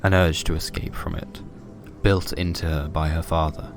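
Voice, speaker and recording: A man's voice: a bass voice, level tone, moderate pace. A man narrating a scripted horror story for a fiction podcast.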